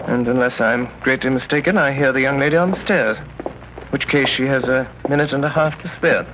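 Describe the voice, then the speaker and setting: A man's voice: mid-range voice, a little fast, close to an everyday voice. Man acting commanding detective, old muffled radio. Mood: drily polite. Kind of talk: 1930s radio drama acting. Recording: muffled, noisy 1930s radio recording.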